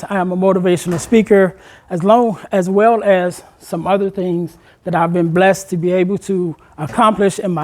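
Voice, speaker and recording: A man, a motivational speaker addressing a room of high school students, asking them questions and urging them to take part. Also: a little mellow. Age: 40s. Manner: engaging the audience with questions, conversational and encouraging.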